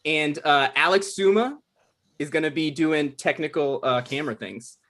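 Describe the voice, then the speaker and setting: A man's voice: fairly high pitch, normal speed, a bit bright. Rambling, self-deprecating man hosting. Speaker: writer hosting a table read. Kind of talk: casual introduction of a cast.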